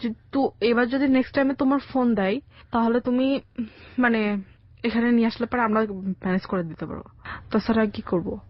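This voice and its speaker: Young bangladeshi girl, adult, telling her story on radio, highish voice, slightly dull lofi sound. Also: steady tone, moderate pace, long pauses, no room sound. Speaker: interview guest telling her life story. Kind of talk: personal account in colloquial conversation. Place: radio studio.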